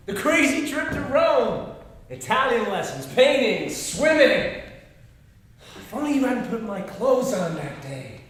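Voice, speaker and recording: An actor playing a taunting, possessive phantom of a jilted friend, speaking in goading, insistent, clipped phrases in a drama. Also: male voice, high voice, fairly bright tone, full character voice. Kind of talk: dramatic acting. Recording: very echoey, distant, faint noise.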